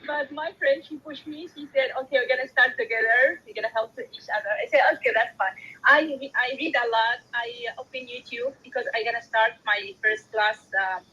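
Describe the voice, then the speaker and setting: A woman from Sudan whose first language is Arabic, asking for advice in a casual live audio chat, halting and hesitant with many fillers. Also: fairly high pitch, pushed and bright, breathy edge, dull audio. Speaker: caller, new coding student.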